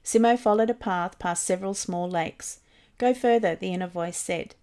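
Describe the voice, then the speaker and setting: A woman's voice: on the low side, normal speed, warm tone. A woman reading a scripted mission story as a volunteer narrator. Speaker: volunteer reader of mission stories.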